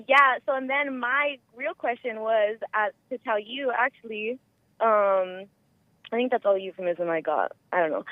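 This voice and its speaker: A woman with a squeaky voice calling in by phone, chatting casually in a rambling way full of filler words. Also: dry recording. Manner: rambling, frequent "like" and "you know". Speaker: caller. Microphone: phone call. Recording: muffled lo-fi telephone line.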